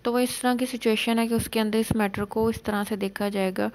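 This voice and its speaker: A woman lecturer explaining law for exam students, reading out legal definitions slowly and then explaining them in plain terms. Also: on the high side, fairly even intonation, darkish tone, low-quality recording.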